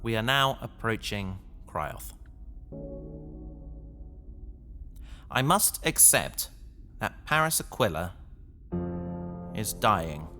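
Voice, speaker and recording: A man playing an anguished young fugitive recording a journal in a sci-fi audio drama, brooding and halting. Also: fairly low pitch. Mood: bleak, resigned. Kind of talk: science fiction audio drama monologue. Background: music.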